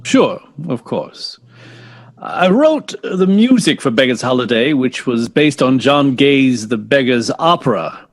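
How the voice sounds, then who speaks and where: Slow, courteous jazz composer. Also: male voice, mid-range voice, animated. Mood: reminiscing. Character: modest, courteous male jazz composer being interviewed. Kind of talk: 1960s radio interview acting.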